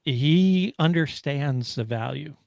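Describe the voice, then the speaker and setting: Man with gravelly creak, casual sports talk. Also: fairly high pitch, lively intonation, takes it slowly, darkish tone, dry recording, studio quality. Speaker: motorsport podcast host.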